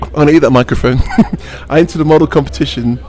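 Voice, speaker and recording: A man's voice: a little high, quick pace, a little mellow, rough recording. A male pantomime actor answering interview questions in a chatty, rambling storytelling way, with playful asides.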